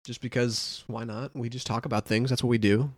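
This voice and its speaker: A man's voice: mid-range voice, little pitch movement, a little fast, dry recording, clean studio sound. A young man co-hosting a podcast in casual chat, rambling and laughing often. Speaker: podcast co-host.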